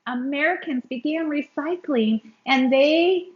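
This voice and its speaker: A woman reading a children's story aloud, expressively, with dramatic emphasis and drawn-out words. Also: high-pitched, echoey room, muffled recording. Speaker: children's book reader.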